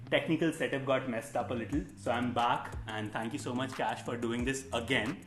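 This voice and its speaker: A man hosting a casual interview, friendly and a bit halting, with restarts as he talks. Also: mid-range voice, steady tone, fairly bright tone, roomy sound. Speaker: host.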